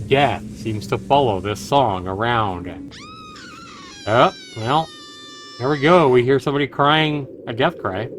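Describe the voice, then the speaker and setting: A man streaming a visual novel, reading it aloud theatrically and emphatically, with his own commentary. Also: mid-range voice. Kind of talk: dramatic game read-aloud with commentary. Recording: noisy home livestream.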